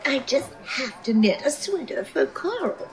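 A woman's voice: high voice, sing-song, measured pace, soft background music, full character voice. A woman playing a fussy, kindly, motherly older office columnist in an audio drama, doting on everyone around her. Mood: chatty, doting. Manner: fussy, doting, says "dearie".

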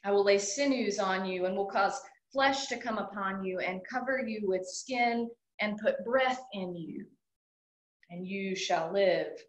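Woman worship leader, mid-pitched, very slow measured reading, echoey room. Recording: echoey hall.

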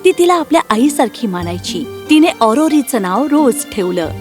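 A woman's voice: on the high side, very animated, moderate pace, darkish tone, faint music behind. A woman narrating a scripted fairy tale as the storyteller.

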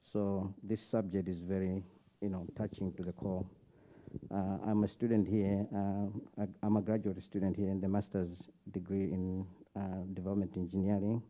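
A young man with a Malawian accent politely and haltingly putting questions to a speaker from the audience. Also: sits low, level tone, warm tone. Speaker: audience questioner, graduate student. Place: auditorium audience.

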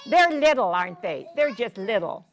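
Older American woman, animated high-pitched story time reader. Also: normal speed. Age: 60s. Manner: teacherly, sing-song, prompting with questions. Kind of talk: reading a picture book aloud to young children, interactive. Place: carpeted library program room, indoors.

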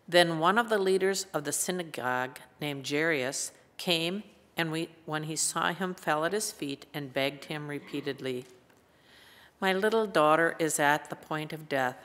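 Older american lady in her 70s, low gravelly voice, reading bible aloud in echoey church. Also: fairly bright tone. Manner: measured, reading from a page. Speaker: lay scripture reader. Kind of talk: formal scripture reading. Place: church pulpit indoors. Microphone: gooseneck lectern microphone. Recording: reverberant church hall.

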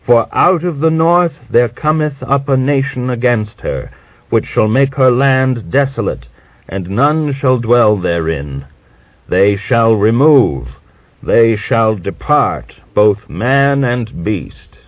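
A man voicing a pious, bitter old man of nearly a hundred, who scolds and preaches and reads scripture slowly and solemnly. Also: mid-range voice, animated, dry recording, pitched above the performer's own voice. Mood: solemn reading. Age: older. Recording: dull dry recording.

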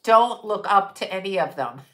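A woman's voice: mid-range voice, animated, moderate pace. A middle-aged woman hosting a live stream from a room at home, ranting bluntly with lots of swearing, her nose stuffy, picked up by a webcam at desk distance. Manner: blunt, profane, emphatic with hand gestures. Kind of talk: casual live-stream rant.

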